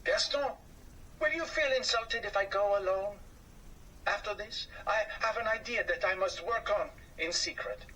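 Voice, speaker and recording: Man as storybook gentleman mouse, high-pitched, proud, sensitive, formal, theatrical, slightly distant mic. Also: normal speed, a little hiss or hum. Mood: earnest. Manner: theatrical, formal, emotional phrasing. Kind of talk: children's storybook character voice.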